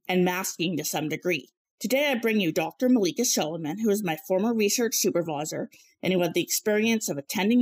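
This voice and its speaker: Young woman presenting. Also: lowish voice. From Canada. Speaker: presenter, PhD candidate. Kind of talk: prepared presentation with conversational reflections.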